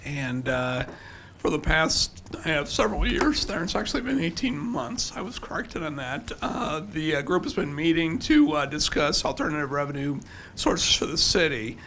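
An older man giving a formal presentation as a city official at a podium microphone, with halting, hesitant phrasing. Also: a little high, big pitch swings, bright, forceful tone, slight background noise. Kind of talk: formal budget presentation with slides. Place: indoor public council chamber with seated audience. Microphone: podium gooseneck microphone.

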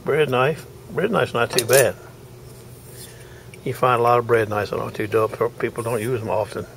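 A man's voice: mid-range voice, fairly even intonation, normal speed, vocal fry, fairly bright tone, slight background noise, rough recording. Folksy rambling man. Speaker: knife sharpener vlogger. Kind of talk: casual video diary. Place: in a car and at home. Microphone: handheld camera.

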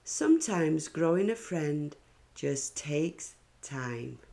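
A woman's voice: low voice, expressive, slow pace, vocal fry, warm tone, heavily acted. A woman voicing a stubborn, guarded little schoolgirl from a storybook, in a firm, emphatic manner. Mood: assured.

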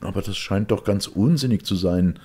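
A man voicing an incredulous secretary, pitched well up. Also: moderate pace, dark tone, slightly rough. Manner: emphatic questioning and objecting. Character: incredulous, impatient male secretary, indignant and skeptical. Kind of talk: audiobook character acting.

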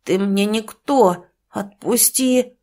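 A woman voicing a sulky, hoarse young boy. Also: mid-range voice, slow, dark tone, close to an everyday voice.

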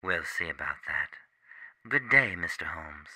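A man's voice: extremely low pitch, expressive, unhurried, sharp tone, dry recording, exaggerated voice. A man playing a cool, menacing criminal professor in a radio drama, curt and coldly polite. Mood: cool menace.

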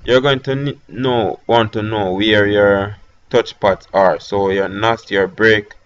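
A man with a Jamaican accent talking through a software tutorial step by step, with hesitant fillers between instructions. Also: on the low side, steady tone, slow pace, smooth, dull audio. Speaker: tech tutorial host. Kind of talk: screen-recorded software tutorial.

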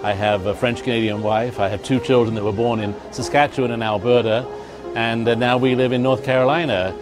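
An older man with an English accent, a museum director, speaking in an interview in a measured, earnest way. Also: mid-range voice, steady tone. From England. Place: museum hall. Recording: music bed underneath.

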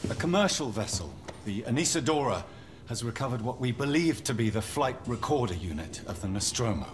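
An actor playing a polite, earnest company man in a sci-fi video game, speaking carefully and gently. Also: medium pitch, lively intonation, brisk, a little hiss or hum, close to an everyday voice. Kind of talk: video game cutscene acting. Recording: dialogue under loud game soundtrack.

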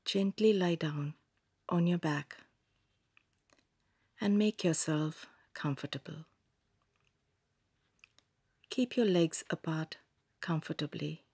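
A woman guiding a yoga nidra meditation in a calm, soothing manner, giving gentle instructions in a soft, breathy voice with long pauses. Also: sits low, no room sound, clean studio sound. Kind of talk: scripted guided meditation narration.

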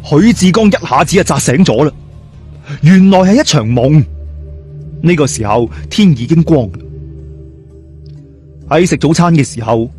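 Male storyteller, dramatic colloquial narration, very varied pitch, slightly high voice. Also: warm tone. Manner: colloquial, suspenseful storytelling with interjections.

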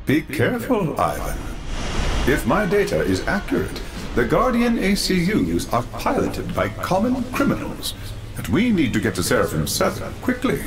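Eccentric old scientist, high-ish swooping voice, formal, curious, game cutscene, loud music behind. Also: male voice, huge pitch swings, normal speed. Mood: fascinated. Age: older. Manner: pedantic, formal, theatrical phrasing. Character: eccentric, curious older male scientist father. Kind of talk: video game cutscene acting.

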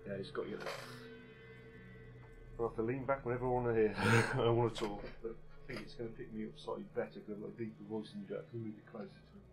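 Low male host, casual game commentary, patient step-by-step explainer. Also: level tone, fast talker, over music, rough recording. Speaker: gaming channel host.

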